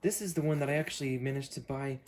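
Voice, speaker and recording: A man's voice: medium pitch, fast, very noisy. A man hosting a casual unboxing video, talking through what he is handling as he goes. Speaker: host unboxing weather radios.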